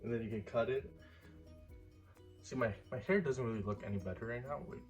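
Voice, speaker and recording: A man's voice: mid-range voice, brisk, faint music behind. A man in his early twenties chatting casually in a couple Q&A vlog, easygoing and rambling with fillers. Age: 20s. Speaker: vlogger.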